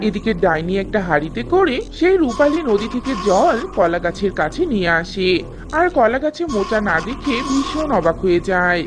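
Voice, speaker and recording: A woman's voice: medium pitch, very animated, normal speed, noisy background. A woman narrating a scripted fairy tale for a cartoon as the storyteller.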